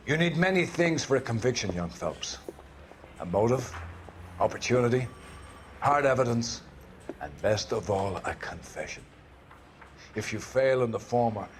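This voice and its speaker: An actor playing a stern, grandiloquent older police captain in a video game, preaching with paternal menace. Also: male voice, on the low side, slight background noise. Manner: grandiloquent, preachy, deliberate phrasing. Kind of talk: video game cutscene acting.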